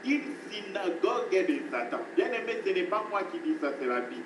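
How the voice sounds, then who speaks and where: Male preacher, emphatic, repetitive delivery, somewhat high voice, distant noisy hall recording. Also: big pitch swings, brisk. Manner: emphatic preaching, repeats phrases, addresses listeners as beloved. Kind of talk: sermon.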